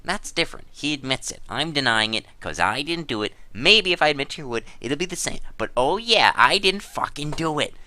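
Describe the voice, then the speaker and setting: A man's voice: on the high side, big pitch swings, a little fast, few pauses, sharp tone, exaggerated voice. A man playing an aggrieved, defiant gamer in a theatrical, emphatic dramatic reading of online drama. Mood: indignant. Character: aggrieved, defiant gamer indignantly denying theft.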